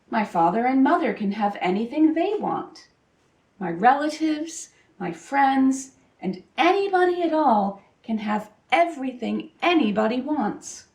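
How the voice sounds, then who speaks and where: A woman's voice: high voice, big pitch swings, unhurried, darkish tone, full character voice. Woman reading as an earnest young donkey, echoey. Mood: delighted. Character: young male donkey, eager, wishful storybook hero. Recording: roomy echo, distant microphone.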